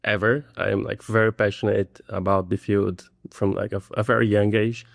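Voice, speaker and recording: A man's voice: lowish voice, little pitch movement, moderate pace, creaky, no room sound, studio quality. A man from Brazil, a drug design co-founder, speaking earnestly as a podcast guest.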